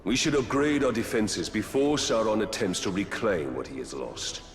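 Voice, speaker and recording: An actor playing a stoic, resolute ranger in a video game, earnest and restrained. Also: male voice, lowish voice, expressive, normal speed, natural voice. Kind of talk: video game character acting. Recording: echoey, roomy sound.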